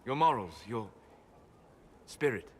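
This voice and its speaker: An actor playing a wise, aloof male elf mage in a game cutscene, measured and soft-spoken. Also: medium pitch, lively intonation, slight background noise. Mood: calm, thoughtful. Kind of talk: video game cutscene dialogue. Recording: game audio with long reverb, faint ambience.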